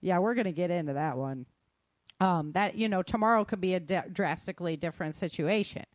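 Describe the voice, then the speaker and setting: A woman's voice: on the low side, fairly quick, dry recording. A middle-aged woman giving an informal talk in a candid, colloquial way with joking asides.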